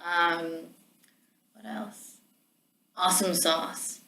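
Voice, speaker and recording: A woman's voice: lowish voice, steady tone, drawn-out words, creaky, background noise. A young Canadian woman, a student, chatting casually and laughing easily. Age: young adult. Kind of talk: casual conversation. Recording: roomy room sound.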